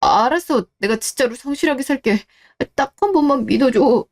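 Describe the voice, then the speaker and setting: A woman's voice: sits high, animated, brisk. A woman voicing a defensive, desperate disgraced husband, pleading and justifying himself in radio-drama dialogue. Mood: pleading, apologetic.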